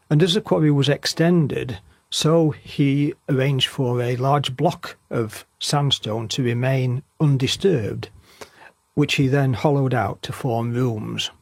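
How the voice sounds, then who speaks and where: A man with a husky, creaky voice giving measured documentary-style narration of local history. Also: medium pitch, dry recording.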